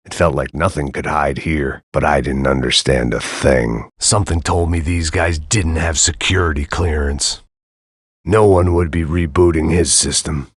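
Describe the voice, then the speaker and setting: A cynical middle-aged bodyguard in a video game, with a very deep, dry and sardonic inner monologue. The delivery is flat deadpan with gallows humor, and it is recorded close with no room sound at all. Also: male voice, measured pace, breathy edge, no echo, heavily acted. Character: weary hard-boiled male bodyguard, middle-aged, cynical.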